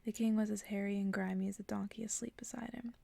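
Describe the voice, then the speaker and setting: A woman speaking in a soft, hushed whisper in the style of an ASMR bedtime reading. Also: medium pitch, level tone, quick pace, creaky, no echo. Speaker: ASMR creator reading stories to listeners.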